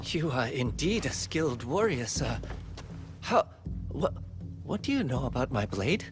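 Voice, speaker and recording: An actor playing a proud, defensive young sword fighter in a video game, earnest, intense and guarded. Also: male voice, medium pitch, big pitch swings, unhurried, over loud music. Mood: wary. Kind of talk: video game cutscene acting.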